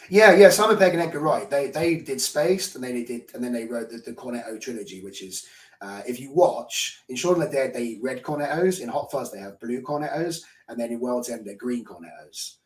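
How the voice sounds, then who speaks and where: British male guest, mid-pitched, rambling delivery, roomy sound a bit off the mic. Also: animated, fairly quick. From United Kingdom. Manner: rambling, hesitant with many restarts and fillers. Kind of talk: casual film discussion. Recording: echoey room, distant from mic.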